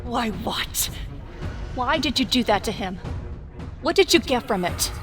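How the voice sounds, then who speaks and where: Woman acting a fierce, slow-spoken young woman. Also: a little high, expressive, over music. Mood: anguished anger. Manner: dramatic, confrontational. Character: fierce young women, a hero and an alien villainess. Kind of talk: audio drama acting.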